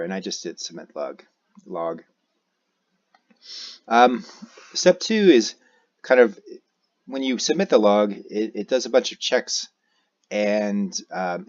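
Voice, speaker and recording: An American man giving an unscripted software walkthrough, hesitantly thinking aloud as he goes. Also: fairly low pitch, dull audio. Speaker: tutorial presenter, amateur radio operator. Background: keyboard typing and mouse clicks.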